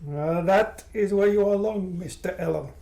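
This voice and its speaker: A man playing a smug, mock-polite male gangster boss who speaks slow broken English in an amateur radio play. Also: high-pitched, vocal fry, warm tone. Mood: oily menace. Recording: roomy echo.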